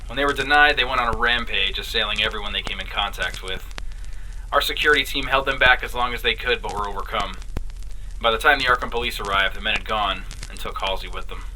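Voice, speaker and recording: An actor playing a formal hospital official giving a radio statement in an audio drama. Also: male voice, on the low side, quick pace, pushed and bright, a little hiss or hum, low-quality recording. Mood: grave, formal.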